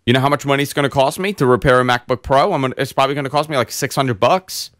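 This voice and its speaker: A man hosting a casual tech podcast, hoarse and congested, telling long rambling stories full of fillers. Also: mid-range voice, very fast, no room sound, clean studio sound. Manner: rambling, animated storyteller with many fillers. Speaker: host.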